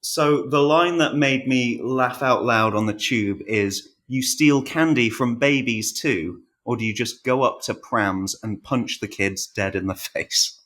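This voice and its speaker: A man chatting casually as an interview guest, halting and restarting his sentences. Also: mid-range voice, a bit bright. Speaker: podcast guest, audiobook narrator. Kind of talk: casual chat. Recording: roomy, echoing sound.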